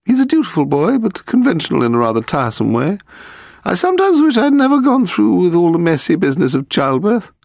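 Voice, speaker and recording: Man's falsetto as grand older lady, imperious, high, swooping, storybook drama. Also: huge pitch swings, brisk, vocal fry, a little mellow, dry recording, clean studio sound, heavily acted. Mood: amused, languid. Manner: genteel, theatrical, condescending. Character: haughty aristocratic older lady, drawling, amused, imperious.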